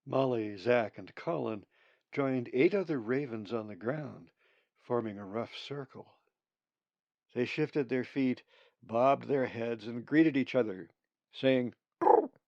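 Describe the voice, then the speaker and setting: A man narrating an audiobook of his own story in a measured storyteller's manner. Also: medium pitch, a little mellow, dry recording, lo-fi sound. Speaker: author reading own book.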